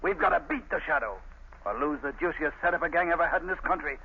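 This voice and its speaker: A man's voice: fairly high pitch, quick pace, breathy edge. An actor playing a ruthless male gang boss in a 1930s radio drama, snarling out commands and threats. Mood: menacing. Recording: tinny, hissy old radio broadcast.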